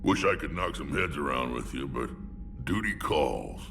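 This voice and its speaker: An actor playing a gruff, battle-hardened alien warlord in a video game, proud and wry, with blunt delivery and a growling voice. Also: male voice, lively intonation, normal speed, distant mic, background noise, exaggerated voice. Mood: fond, wry. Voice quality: deep gravelly growl. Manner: blunt, sardonic, weighty delivery. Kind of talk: video game character acting.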